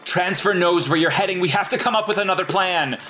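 A woman's voice: on the low side, a little fast, fairly bright tone, faint music behind, natural voice. A woman playing an insistent, calculating support hero who justifies their choices in an audio drama. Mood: urgent. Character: defensive, calculating behind-the-scenes support hero, arguing over a call.

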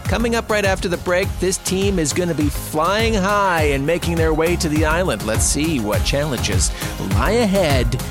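A man acts out a flashy reality-show presenter for an audio drama. His theatrical voice swings widely in pitch, with music running behind it. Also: expressive, normal speed, lightly acted. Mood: showy excitement. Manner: theatrical, announcer-like. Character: showy male reality competition TV host. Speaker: game show host. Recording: loud music bed behind voice.

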